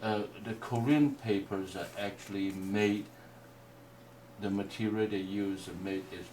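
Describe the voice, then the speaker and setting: A man whose first language is Mandarin, teaching a painting workshop in a halting way with many restarts. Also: lowish voice, fairly even intonation, darkish tone. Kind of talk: hesitant workshop explanation. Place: indoor workshop room. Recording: distant mic with faint noise.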